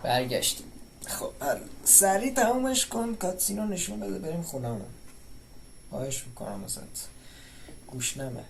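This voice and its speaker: A woman's voice: on the low side, sing-song, very fast, gravelly, slight background noise. A woman giving casual commentary as she plays and streams a game. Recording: voice under very loud game music.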